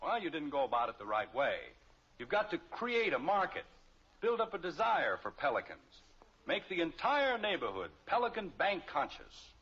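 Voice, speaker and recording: A man's voice: on the high side, wildly swooping pitch, normal speed, pushed and bright, breathy edge, exaggerated voice. An actor playing an exasperated, sarcastic middle-aged American father in a mid-century radio sitcom. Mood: encouraging, brisk. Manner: dry, sarcastic. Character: exasperated, wisecracking father of a suburban family. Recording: dull, noisy old radio recording.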